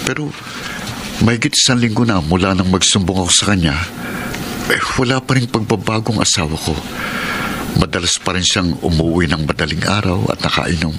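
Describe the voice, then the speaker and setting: A man's voice: low voice, brisk, noisy background, lightly acted. An actor playing a troubled young husband who suspects his wife, in a classic radio drama. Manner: earnest, troubled, confiding. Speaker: narrator of his own story.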